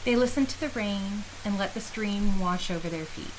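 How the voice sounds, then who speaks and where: Woman narrating fantasy over steady hiss. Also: mid-range voice, normal speed. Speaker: story narrator.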